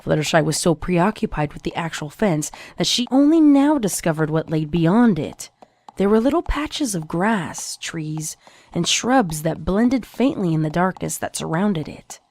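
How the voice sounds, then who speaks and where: Woman narrator, kinda low voice, telling a suspenseful dark tale, music under it. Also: animated, dry recording. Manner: measured, suspenseful storytelling. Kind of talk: dramatic scripted story narration.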